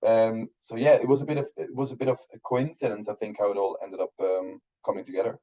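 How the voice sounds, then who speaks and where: Swiss man, thirties, German first language, warm mellow tone, slightly muffled audio, rambles with fillers. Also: fairly low pitch, little pitch movement, fast talker. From Switzerland. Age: 30s. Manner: rambling, many restarts and fillers. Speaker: guest filmmaker. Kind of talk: casual interview chat.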